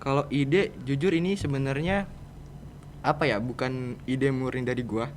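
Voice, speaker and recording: A man's voice: mid-range voice, steady tone, moderate pace. A teenage male student with a Jakarta Indonesian accent, answering interview questions in an informal, roundabout, conversational way. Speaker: student guest, podcast project leader. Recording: clear background noise, room ambience.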